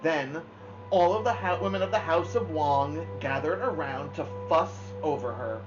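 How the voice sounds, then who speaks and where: A woman reading a children's novel aloud expressively, with dramatic pauses. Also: low voice. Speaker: storybook reader. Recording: dull lo-fi sound, music behind. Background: music.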